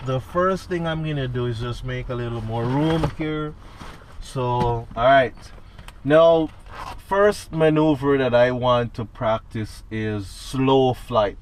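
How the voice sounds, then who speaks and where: A man with a Jamaican accent, a student pilot talking through a cockpit checklist in a casual instructional vlog and often asking "right?". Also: medium pitch. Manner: talks through a checklist, tagging lines with "right?". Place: inside a small airplane cockpit on the ground.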